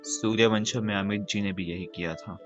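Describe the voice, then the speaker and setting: A man's voice: lowish voice, fairly even intonation, rapid-fire, a bit bright, dull audio, soft background music. A man with an Indian accent reacting casually to a game, teasing and irreverent. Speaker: game streamer. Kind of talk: casual joking live reactions.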